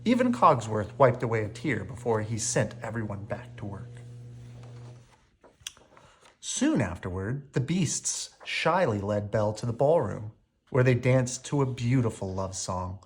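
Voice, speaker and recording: A man reading a children's bedtime story aloud with expressive narration. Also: on the low side, a little slow. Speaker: storybook reader.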